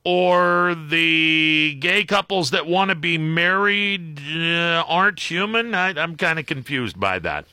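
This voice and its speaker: American man, very bright radio host voice. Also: a little high, lively intonation, takes it slowly, hardly any pauses, no room sound. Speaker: radio call-in show host. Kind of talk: casual talk radio monologue and caller chat. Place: radio studio. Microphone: close studio microphone.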